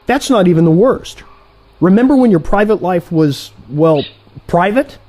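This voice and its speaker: A man playing a cocky, easily irritated assassin in a comic audio play, complaining in an animated way. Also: high voice, a little slow. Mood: exasperated.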